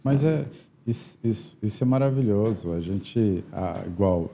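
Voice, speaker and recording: Low, dark-voiced male host. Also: animated, measured pace, creaky. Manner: affirming, reflective, short agreements. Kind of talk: casual interview.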